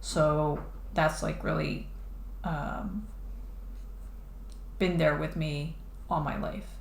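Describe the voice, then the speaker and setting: Low-voiced woman chatting while drawing. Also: fairly even intonation, extremely slow, vocal fry. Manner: rambling, lots of "like" and restarts. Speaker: streamer drawing a comic. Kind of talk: casual chat while drawing. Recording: echoey room, faint background noise.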